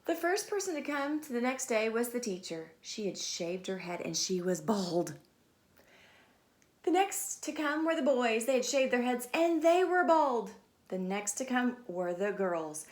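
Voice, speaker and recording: Woman, teacher, children's story reading, high pitch, lively swooping intonation, roomy echo. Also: measured pace. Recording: echoey, roomy sound.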